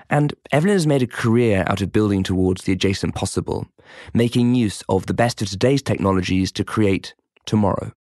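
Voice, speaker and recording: Male documentary podcast host, lowish voice, scripted narration, very dry recording. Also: no echo.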